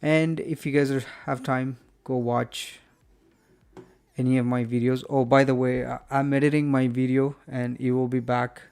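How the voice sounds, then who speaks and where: A man's voice: mid-range voice, level tone, normal speed, vocal fry, a little mellow, smooth. A man hosting a live stream from home, wrapping up the show in a rambling, friendly chat full of fillers and restarts. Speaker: host.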